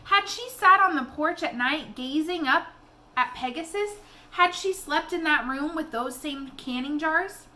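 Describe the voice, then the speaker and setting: High-voiced, bright woman reading a story aloud. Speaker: reader of a children's novel. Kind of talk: expressive read-aloud storytelling.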